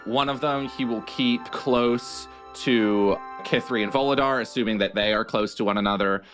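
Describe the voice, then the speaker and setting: A man's voice: medium pitch, normal speed, music in the background. A man playing a tabletop roleplaying game, improvising narration of what his character does.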